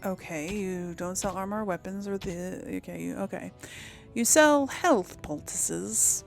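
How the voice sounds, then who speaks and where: A woman's voice: mid-range voice, a little slow, creaky, faint music behind. A woman giving casual let's play commentary, chatting to viewers and rambling as she thinks aloud. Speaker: gaming video host.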